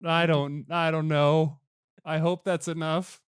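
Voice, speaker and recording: A man's voice: a little high, little pitch movement, takes it slowly, smooth, no echo, studio quality, pitched above the performer's own voice. A man voicing a timid young male hero who doubts himself and talks anxiously. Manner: anxious, unsure, pleading.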